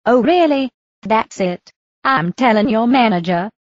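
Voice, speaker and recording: Man faking a shrill huffy lady customer. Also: animated, unhurried, no echo, muffled recording, natural voice. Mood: indignant. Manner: indignant. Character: rude, huffy female coffee customer.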